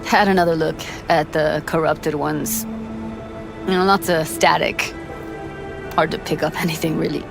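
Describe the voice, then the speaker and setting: An actress playing a grieving, guarded young tech expert in a video game, with slangy, clipped, weary phrasing. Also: female voice, sits low, steady tone, moderate pace, long pauses. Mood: somber, bitter. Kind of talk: naturalistic video game acting. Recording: game dialogue under clear background music.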